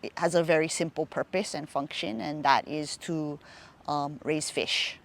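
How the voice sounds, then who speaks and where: A middle-aged woman with a Hawaiian accent, a fishpond nonprofit director speaking in a reflective interview, unhurried and pausing often with fillers. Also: deep voice, steady tone, gravelly.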